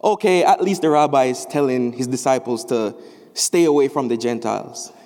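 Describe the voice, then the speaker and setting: A young man from Jamaica, a student preacher, giving an animated chapel sermon with rising, emphatic phrases. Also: mid-range voice, normal speed. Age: young adult. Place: large chapel. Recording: echoey chapel hall.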